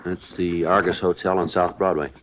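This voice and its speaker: An actor playing a terse, deadpan American police detective sergeant in a 1950s radio drama, matter-of-fact in dialogue and narration. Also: male voice, low-pitched, moderate pace, slight background noise, poor audio.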